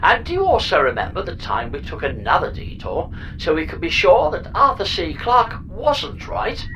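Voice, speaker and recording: A woman plays a spaceship's pod computer in a comedy audio drama, with a low female voice that swings wildly up and down. It is chatty and flippant, breezily grand about its own recklessness. There is clear noise behind the voice. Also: big pitch swings, normal speed, a bit bright, rough recording. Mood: breezy, wistful. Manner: mock-grand, breezy, theatrical.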